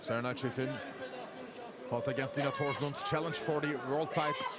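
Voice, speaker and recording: A man with a Turkish accent giving live play-by-play of a boxing fight, rising to shouts at big moments. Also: mid-range voice, fairly even intonation, moderate pace, heavy background noise. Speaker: fight commentator. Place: boxing arena. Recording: loud arena crowd and music behind.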